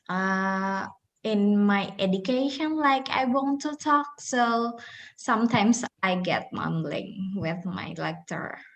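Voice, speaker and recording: A young woman, a student sharing in an online class over a video call, halting and searching for words. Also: medium pitch, expressive, vocal fry, a bit bright. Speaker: student participant.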